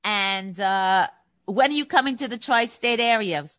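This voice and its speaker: Upbeat New York woman host, bright, crisp voice, dry close sound. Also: mid-range voice, a little slow, no echo. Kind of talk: interview host.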